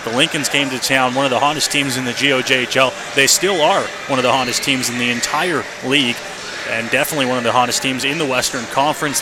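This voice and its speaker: A man doing live radio play-by-play commentary before a hockey game, as the announcer. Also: mid-range voice. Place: indoor hockey arena. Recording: noisy live rink broadcast. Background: clear background arena noise.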